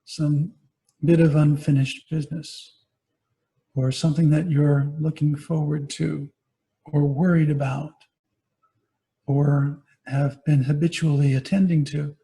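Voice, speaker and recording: A man guiding a meditation as a teacher, giving gentle, unhurried instructions with pauses between phrases. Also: fairly high pitch, level tone, creaky, low-quality recording. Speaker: meditation teacher, Buddhist monastic. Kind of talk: guided body scan meditation.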